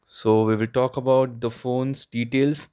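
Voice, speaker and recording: A man's voice: mid-range voice, little pitch movement, a little slow, darkish tone, clear, resonant tone. A man giving a casual unboxing and product review, explaining plainly and repeating his points. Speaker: tech reviewer, host.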